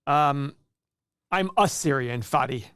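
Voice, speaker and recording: A man's voice: mid-range voice, slow, a bit bright, clear, resonant tone, no room sound, studio quality. A man born in Iraq co-hosting a stock market livestream, talking casually and rambling, repeating words and acting out his own thoughts. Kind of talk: casual investing talk on a livestream.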